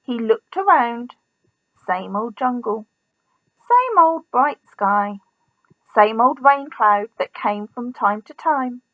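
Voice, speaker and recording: A woman teacher reading a children's story expressively, in a deliberate manner with pauses. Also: a little high, animated, no echo, lo-fi sound. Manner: deliberate, pausing, asks listeners questions.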